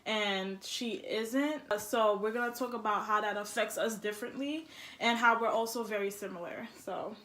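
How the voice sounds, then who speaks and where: Candid young woman, bright echoey voice. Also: on the high side, normal speed. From born in Haiti, immigrated to the United States at four. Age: early 20s. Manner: candid, informal, slangy. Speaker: vlog host, college student. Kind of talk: casual personal chat. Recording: echoey room.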